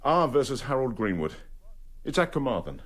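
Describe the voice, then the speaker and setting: An actor playing an insinuating, gossipy solicitor in a radio drama, mock-casual and oily. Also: male voice, medium pitch, sing-song, moderate pace, a bit bright. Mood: businesslike.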